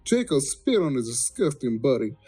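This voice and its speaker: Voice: mid-range voice, very animated, normal speed, dark tone, breathy edge, soft background music. A person giving a crude, mock-dramatic reading of a comic story, sometimes breaking off into blunt asides. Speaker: amateur story reader.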